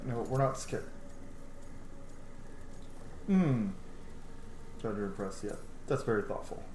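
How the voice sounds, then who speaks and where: A man's voice: mid-range voice, expressive, slow pace, darkish tone, slight background noise. A man, around his thirties, giving casual, enthusiastic let's-play commentary in chatty asides. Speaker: game streamer and video host. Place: small room indoors at home. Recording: roomy sound, game music behind. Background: video game music and dialogue.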